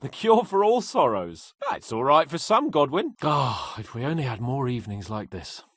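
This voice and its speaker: A man's voice: mid-range voice, sing-song, moderate pace, breathy edge, dry recording, studio quality. An actor playing a cocky young medieval nobleman in a video game, haughty and playful with a theatrical manner. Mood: breezy, teasing. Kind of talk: video game character dialogue.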